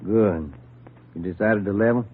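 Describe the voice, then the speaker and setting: A man's voice: fairly low pitch, a little slow, husky. An American actor playing a folksy, plain-spoken police detective and family man in an old radio drama. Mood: businesslike. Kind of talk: 1940s-50s radio drama acting. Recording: muffled, noisy old radio recording.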